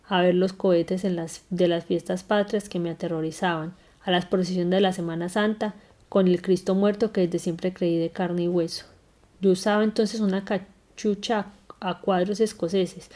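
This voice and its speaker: Woman reading a memoir aloud, low voice. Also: dark tone. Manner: unhurried, careful reading with small slips and self-corrections. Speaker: reader.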